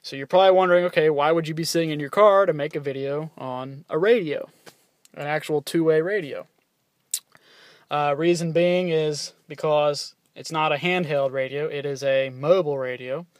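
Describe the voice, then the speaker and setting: Guy in his parked truck rambling about his cb, swears a lot, lots of ums, kinda high voice, dry close sound. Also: normal speed, no echo. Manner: rambling, loose, swears casually, many ums and restarts. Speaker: vlogger reviewing his CB radio. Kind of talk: casual rambling vlog. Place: inside his parked SUV.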